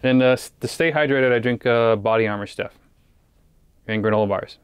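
A man's voice: mid-range voice, brisk, clear, resonant tone, dry recording. A man talking casually to viewers as a fishing vlogger, in an easygoing, rambling way. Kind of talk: casual vlog chat to viewers. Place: small ice fishing shelter on a frozen lake. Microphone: action camera facing him.

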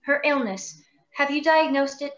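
A woman's voice: sits high, normal speed, fairly bright tone, dull audio. A woman playing a gracious, concerned young wife with polite, genteel speech in a radio play. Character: gracious, concerned young married former nurse.

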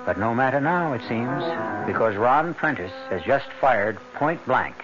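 A man hosting a radio play with dramatic, portentous and deliberate scripted narration. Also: mid-range voice, expressive. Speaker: host and narrator. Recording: muffled old radio broadcast. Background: music.

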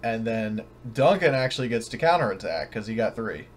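A man's voice: fairly low pitch, very animated, brisk. A man running a tabletop game as game master, speaking casually and hesitantly, stumbling as he works out the rules. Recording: noisy home recording of online game session.

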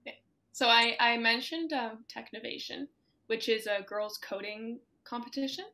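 A woman's voice: on the high side, normal speed, bright, forceful tone. A teenage girl answering interview questions over a video call from home, upbeat and giggly with lots of ums. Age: teens. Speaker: student entrepreneur guest. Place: home room with closet doors. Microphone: laptop webcam on video call.

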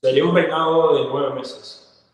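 A man's voice: fairly high pitch, fairly even intonation, unhurried. A young adult man with a Cuban accent, telling his story as a guest on a video call. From Cuba. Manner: fluent storyteller, frequent fillers. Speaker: guest, actor and model. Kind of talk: casual interview answers. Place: indoor room, plain wall. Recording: echoey room, long reverb.

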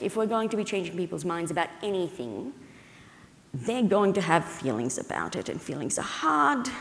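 Woman, lowish voice, conference keynote, conversational explaining, halting phrasing, long hall reverb. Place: indoor stage at a conference, at a podium.

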